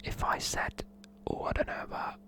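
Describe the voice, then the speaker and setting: British accent, fully whispered ASMR delivery, informal and matey, chatty explainer. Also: moderate pace. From United Kingdom. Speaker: presenter explaining slang.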